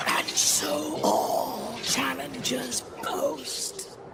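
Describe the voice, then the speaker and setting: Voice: high voice, steady tone, very slow, gravelly, heavy background noise, heavily acted. An actor playing an imperious undead arena keeper in a video game, declamatory and commanding. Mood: scornful, grand.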